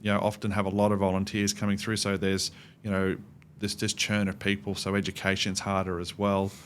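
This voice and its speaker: Middle-aged Australian male expert, very low and flat-pitched, measured explainer in interview mode, faint rumble behind. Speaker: podcast guest, cybersecurity expert. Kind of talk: conversational interview answers.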